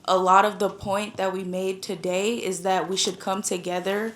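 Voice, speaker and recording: A woman's voice: medium pitch, little pitch movement, moderate pace. A young woman from the United States speaking from the audience, thoughtful, with frequent "like". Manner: thoughtful, uses "like" a lot. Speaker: audience member. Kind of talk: statement from the floor.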